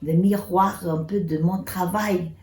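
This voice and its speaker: A woman's voice: lowish voice, fairly even intonation, takes it slowly, dark tone. An older woman from northern Portugal, Portuguese her first language, speaking earnestly with hesitations in an interview. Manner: earnest, with hesitations and repeated words. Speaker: community activist and author. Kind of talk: interview and speech. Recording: echoey room with faint noise.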